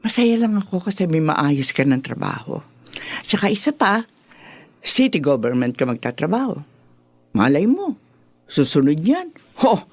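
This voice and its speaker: An actress playing a proud, outspoken middle-aged mother in a Filipino radio drama, expressive and emphatic. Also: deep voice, measured pace, long pauses, slightly rough, dry recording, full character voice. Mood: proud, doting.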